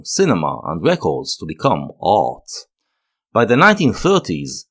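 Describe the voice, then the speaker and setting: A man with a fairly low voice narrates a scripted video essay. His pitch swings widely as he explains each point in a measured, literary way, like a critic laying out an argument.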